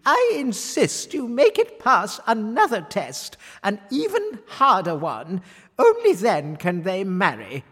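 A woman acting an excitable, haughty wife and queen in a children's audio story, exclaiming theatrically with drawn-out words. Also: medium pitch, husky, long reverb tail, full character voice. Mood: indignant, insistent.

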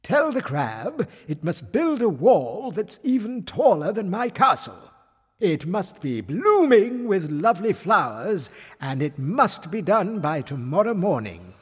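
A blustery but easygoing storybook king acted out by a man. He muses and exclaims heartily in a raised, lively voice, taking his time over his words. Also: high voice, unhurried, breathy edge, in a large hall, pitched above the performer's own voice, heavily acted. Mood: commanding. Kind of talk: children's storybook character voice.

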